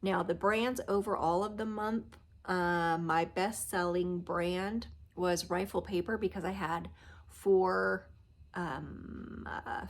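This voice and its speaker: Nasal woman with a cold, chatty vlog. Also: fairly low pitch. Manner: chatty, many fillers, trails off softly at phrase ends. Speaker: reseller vlogger. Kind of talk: casual to-camera vlog. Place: living room at home. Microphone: phone or camera close at arm's length.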